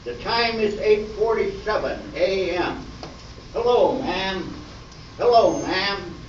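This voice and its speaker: Man playing slow, deadpan police sergeant, echoey room. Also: sits high. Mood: businesslike. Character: male police sergeant, clipped, by-the-book detective parody. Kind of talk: amateur stage radio play, narrated police-drama parody. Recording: distant, echoey, muffled room with noise.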